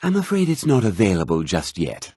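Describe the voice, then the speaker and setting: An actor voicing a haughty, formal middle-aged mage and official in a fantasy video game, speaking precisely and with condescending politeness. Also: male voice, on the low side, wildly swooping pitch, brisk, mellow, slightly rough, dry recording, studio quality, exaggerated voice. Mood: courteous, businesslike.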